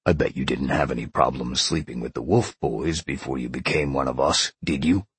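A man voicing a gruff, impatient lion shapeshifter in an audiobook, growling and bluntly sardonic. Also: very deep voice, moderate pace, a bit bright, no room sound, exaggerated voice. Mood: menacing. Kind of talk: audiobook character acting.

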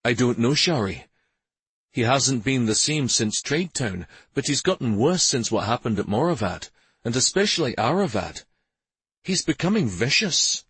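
Man playing a frank, concerned friend, husky voice. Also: medium pitch, sing-song, moderate pace, fairly bright tone, no echo, voice pushed up, full character voice. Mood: worried. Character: loyal, frank male companion of a prince, concerned.

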